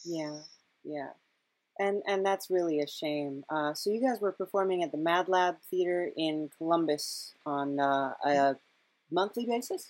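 A woman's voice: deep voice, a little mellow, no room sound, poor audio. A woman hosting a casual podcast interview, feeling her way through questions with many false starts. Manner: halting, hesitant phrasing with repeated false starts.

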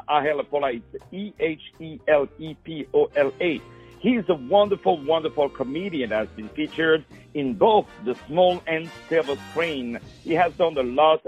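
A man's voice: on the high side, slightly rough. Radio host, man, gushing intro style, dull lo-fi sound, faint music behind. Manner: effusive, repeats words, many uh fillers. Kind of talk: radio interview hosting.

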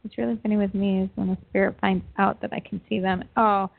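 A woman's voice: medium pitch, level tone, fast, gravelly. A woman co-hosting a casual chat show in an informal, easygoing way. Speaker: show co-host. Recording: phone-like thin audio.

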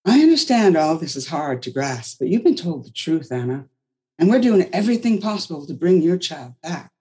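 A woman voicing a protective older caretaker who speaks firmly and chides gently. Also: deep voice, sing-song, moderate pace. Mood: chiding. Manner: firm, chiding, motherly.

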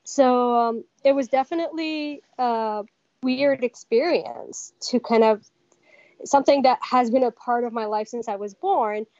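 A young woman from Iran, a band's lead singer, answering interview questions thoughtfully with frequent pauses. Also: a little high, mellow, no room sound, dull audio. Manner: thoughtful, many pauses and fillers. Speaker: lead vocalist of a metal band.

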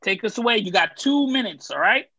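A man hosting a casual podcast, relaxed and easygoing, with many filler words. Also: sits high, a little fast, dry recording. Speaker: podcast host. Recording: dull, dry lo-fi sound.

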